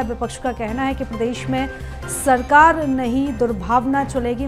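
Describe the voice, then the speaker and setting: A woman presenting live television news as an anchor. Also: fairly high pitch.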